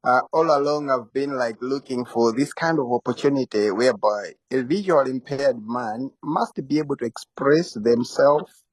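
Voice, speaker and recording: A man with a Malawian accent and a medium-pitched voice joins a live talk to ask questions. He is polite and casual, and builds his sentences in short, halting pieces. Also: husky, no echo, low-quality recording. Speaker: audience member asking questions.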